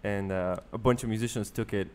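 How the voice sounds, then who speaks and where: A man's voice: lowish voice, fairly even intonation, a little fast, clear, resonant tone. A man from Bulgaria, chatting as an interview guest and telling stories with many fillers. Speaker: guest musician. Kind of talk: casual interview chat.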